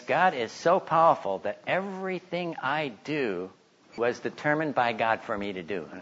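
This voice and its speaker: A man's voice: mid-range voice, fairly bright tone. Man teaching, slightly dull recording. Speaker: Bible teacher giving a talk. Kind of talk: informal religious teaching with stories and a read-aloud testimony.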